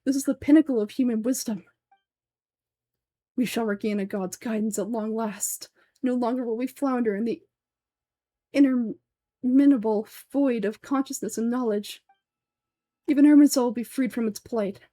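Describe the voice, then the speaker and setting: A woman's voice: fairly high pitch, moderate pace, long pauses, dark tone, no echo, lightly acted. A woman voicing a pompous, fanatical older male sage from a game, speaking formally and in declamatory fashion. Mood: fervent. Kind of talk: game dialogue dub.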